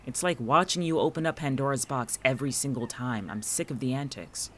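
A man's voice: medium pitch, brisk, background noise, lightly acted. Man acting a dry, exasperated, guarded friend, outdoor-like ambience. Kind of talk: naturalistic audio drama dialogue.

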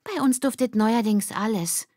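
Woman putting on a high, grumbling, dramatic schoolgirl. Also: animated, moderate pace, a little mellow, no room sound, clean studio sound. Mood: sardonic. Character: sardonic, dramatic schoolgirl with a broken hand.

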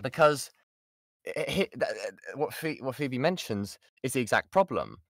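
A man's voice: medium pitch, lively intonation, moderate pace, fairly bright tone, no echo, clean studio sound. A British man giving an informal voice acting lesson and answering questions, often restarting his sentences and stressing key points. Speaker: voice actor giving a workshop. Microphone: close mic on an online voice chat.

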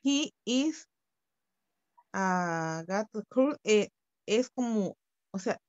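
A woman's voice: mid-range voice, expressive, drawn-out words, a little mellow, no echo. Woman, student, hesitant, thinks aloud, dry muffled call audio.

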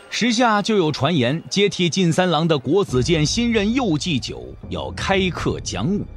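A man narrating a dramatic audio drama as a scripted storyteller. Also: on the high side. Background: background music.

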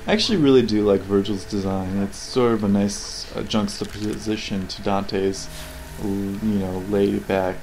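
A man's voice: fairly low pitch, fairly even intonation, moderate pace, a little mellow, soft background music, rough recording. A man giving casual, rambling commentary on video game cutscenes, sarcastic, profane and nitpicking. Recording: game dialogue and ambience behind the voice. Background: video game cutscene audio.

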